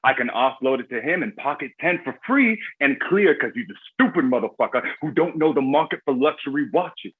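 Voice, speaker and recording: An actor playing a cocky, foul-mouthed crime boss with swaggering street talk in a screenplay table read. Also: male voice, mid-range voice, expressive, brisk, hardly any pauses, breathy edge, narrow, tinny audio. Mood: boastful, menacing.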